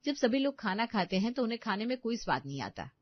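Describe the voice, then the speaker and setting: A woman narrating a scripted bedtime story in an even, explanatory way. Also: fairly high pitch, fast, dull audio.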